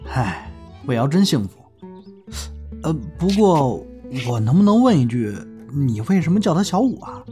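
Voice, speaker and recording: A man's voice: fairly high pitch, sing-song, moderate pace, dark tone, soft background music. A man playing an easygoing young crosstalk performer in an audio drama, chatty and teasing. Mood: friendly. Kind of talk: Chinese audio drama acting.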